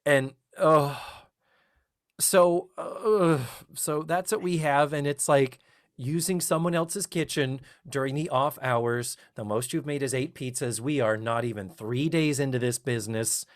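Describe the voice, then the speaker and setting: Male podcast host, lively and playful, recaps scenes and acts out lines. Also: medium pitch, a little slow. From lives in Central Florida. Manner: animated, acts out lines in raised mock voices. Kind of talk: casual recap chat.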